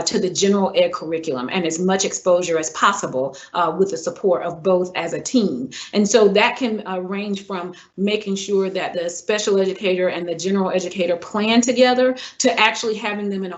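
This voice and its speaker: A woman answering interview questions as a superintendent candidate, in a measured, earnest way, often pausing on "uh". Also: medium pitch. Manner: measured and earnest, many filler "uh"s. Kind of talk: formal job interview answers. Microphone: video call. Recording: dull lo-fi video call audio.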